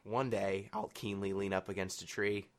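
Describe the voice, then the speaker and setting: A man hosts a casual interview podcast. His voice is a little on the low side, and he speaks conversationally with his guests. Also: little pitch movement, moderate pace. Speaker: podcast host.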